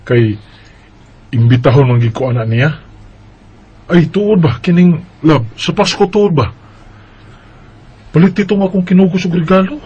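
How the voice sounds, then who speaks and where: Actor as an earnest, higher-voiced Bisaya man. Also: normal speed, pauses often, darkish tone, husky. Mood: coaxing, earnest. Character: earnest Bisaya man saving for land and marriage. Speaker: radio drama lead and letter narrator. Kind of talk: Bisaya radio drama acting. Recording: dull lo-fi radio drama recording.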